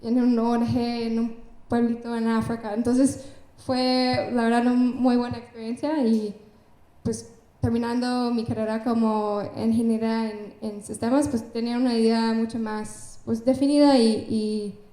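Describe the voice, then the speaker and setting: A woman's voice: a little high, flat delivery, measured pace, warm tone. A young Canadian woman from Canada, speaking Spanish with an English accent as a startup founder on an event stage, hesitant and full of fillers. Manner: hesitant, many fillers, laughs between phrases. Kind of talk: onstage interview. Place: event stage before an audience. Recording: echoey room.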